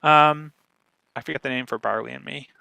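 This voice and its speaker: Male podcast strategist for an informal Q&A: bright, punchy tone, emphatic delivery, very little room sound. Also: mid-range voice, brisk, creaky, no echo, rough recording. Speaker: podcast growth strategist and guest speaker. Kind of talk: informal question-and-answer talk.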